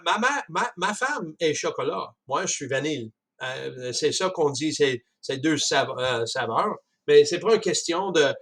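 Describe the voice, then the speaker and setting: A man with a Canadian French accent talking casually about a book, halting often with restarts and chuckles. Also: a little high, fairly bright tone. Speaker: speaker discussing a book. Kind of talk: casual spoken commentary.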